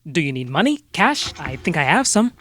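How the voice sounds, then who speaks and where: An actor playing an earnest, polite small-town amateur detective who talks in a halting, folksy way, in a comic radio-drama style. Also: male voice, a little high, sing-song, bright, forceful tone. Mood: concerned, startled.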